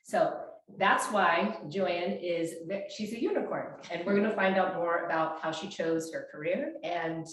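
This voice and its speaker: A woman in her fifties hosts a casual interview in a chatty, loose way, often backing up to restart her sentences. Her voice sits a little low for a woman. The sound is very echoey, picked up by a small clip-on mic in a bare room. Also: unhurried, hardly any pauses. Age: 50s. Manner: chatty, frequent restarts and fillers. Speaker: fitness coach and interview host. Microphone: small clip-on mic shared between speakers.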